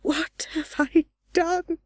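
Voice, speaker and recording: A woman's voice: very high voice, steady tone, no echo, exaggerated voice. A woman playing a remorseful old wealthy recluse, trembling and anguished, in a dramatic audiobook reading. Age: older. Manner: slow, anguished, drawn-out delivery.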